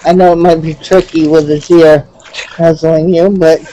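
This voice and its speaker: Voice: mid-range voice, level tone, normal speed, warm tone, poor audio. A person chatting casually on a video call, gushing playfully and stumbling over words now and then. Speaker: virtual tour participant.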